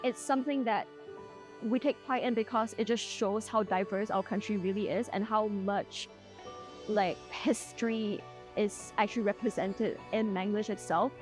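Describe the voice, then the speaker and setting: A woman's voice: mid-range voice, steady tone, normal speed, a little mellow, faint music behind. A woman interviewee talking in a casual, proud way.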